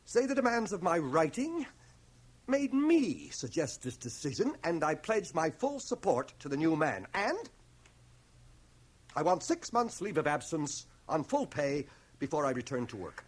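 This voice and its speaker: An actor playing a proud, dry, scholarly middle-aged schoolmaster in a radio drama, speaking formally and precisely. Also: male voice, fairly high pitch, sing-song, a little slow. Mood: stiff, determined. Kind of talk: 1980 radio drama acting. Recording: home cassette transfer of radio drama.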